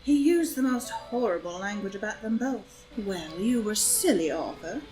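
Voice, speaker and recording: A woman's voice: mid-range voice, animated, normal speed, warm tone, faint music behind, close to an everyday voice, rough recording. An actress playing a worldly, scheming society woman with a languid, arch wit, in an audio drama reading of a stage play. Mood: arch, amused. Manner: languid, arch, epigrammatic delivery. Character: scheming, worldly, witty society woman, cynical and coolly seductive.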